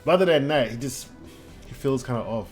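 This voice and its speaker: A man's voice: mid-range voice, fairly quick. A man streaming a game, giving informal live commentary with wry, muttered asides. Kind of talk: casual live gameplay commentary. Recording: game music faintly behind voice. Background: game music and character voice lines.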